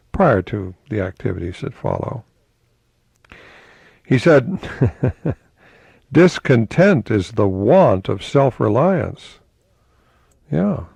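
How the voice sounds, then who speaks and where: An older man giving a reflective radio talk in a conversational, musing way, posing rhetorical questions and reading quotations slowly with emphasis. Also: mid-range voice, gravelly, darkish tone, slightly rough, no room sound. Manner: slow, emphatic delivery of quotations. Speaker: radio host, professor.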